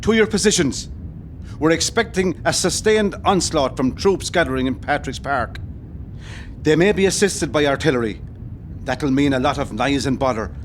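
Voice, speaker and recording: A man's voice: a little high, lively intonation, moderate pace, a little hiss or hum, lightly acted. A man playing a resolute, composed rebel commandant in an audio play. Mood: commanding.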